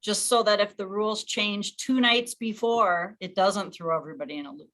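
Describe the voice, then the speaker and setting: Woman running an online meeting, bright voice, chatty and working things out as she talks. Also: mid-range voice, normal speed. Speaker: league official leading an online meeting. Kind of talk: informal online meeting talk.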